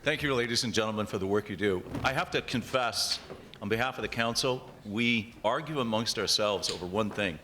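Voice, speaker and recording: Hoarse low-voiced man at a ceremony. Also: background noise. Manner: friendly, self-deprecating, slow-paced. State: losing his voice. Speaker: town council member introducing awards. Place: theatre stage at an awards ceremony.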